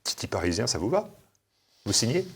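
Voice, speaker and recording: A man's voice: medium pitch, huge pitch swings, brisk, husky. A man hosting an interview, asking long leading questions with hesitant fillers. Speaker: interviewer host.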